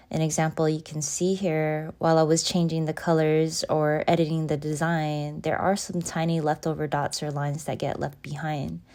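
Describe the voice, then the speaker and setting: A woman's voice: deep voice, a little mellow. A woman in her thirties from Seattle, a graphic designer casually talking through her design work in a screen walkthrough, chatty and friendly, with lots of "um" and "you know". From Seattle, USA.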